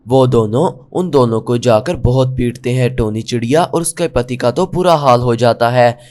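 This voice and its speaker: A man's voice: mid-range voice, normal speed, darkish tone. A man narrating a scripted children's animal story.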